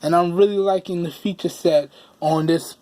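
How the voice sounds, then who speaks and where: A man with a hoarse voice that he says he is losing, giving a chatty, casual product review and often repeating phrases for emphasis. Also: high-pitched, steady tone, normal speed, darkish tone.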